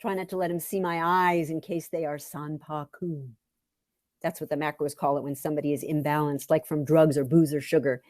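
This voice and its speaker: Expressive woman storyteller, low voice, dry sound. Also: a little fast, no echo. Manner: theatrical, expressive, with whispered phrases. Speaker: author reading own memoir story. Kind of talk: dramatic first-person story reading.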